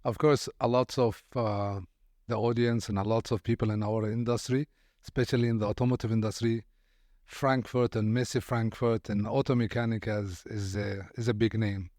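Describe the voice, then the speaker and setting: A man's voice: fairly low pitch, flat delivery, normal speed, a little mellow, no echo. Courteous male podcast host, dry sound. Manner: courteous, repeats words while searching for phrasing. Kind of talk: podcast interview.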